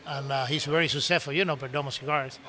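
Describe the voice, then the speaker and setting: A middle-aged man from Cuba with a Cuban Spanish accent, telling stories in animated broken English as a podcast guest. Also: medium pitch, fast talker. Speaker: podcast guest, cigar business owner. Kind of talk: casual storytelling conversation.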